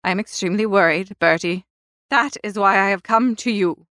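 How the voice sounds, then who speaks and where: A woman's voice: medium pitch, normal speed, fairly bright tone, no echo, clean studio sound, close to an everyday voice. A woman playing a bossy, formidable older upper-class aunt in a comic audiobook, scolding and emphatic. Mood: worried, disapproving.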